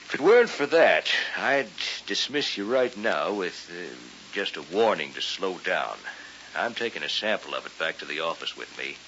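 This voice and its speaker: A muffled old radio drama with a skeptical family doctor. He probes with authority in measured phrases, his forceful voice moving animatedly up and down. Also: low-pitched, big pitch swings, heavily acted. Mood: firm, reassuring. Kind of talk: vintage radio drama acting. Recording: dull vintage radio with hiss.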